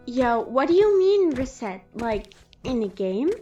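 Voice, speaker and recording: A woman voicing an excitable, cheeky young monster boy in an audio drama, with slangy, eager delivery. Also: fairly high pitch, unhurried, dull audio. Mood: playful. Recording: distant echoing hall over music.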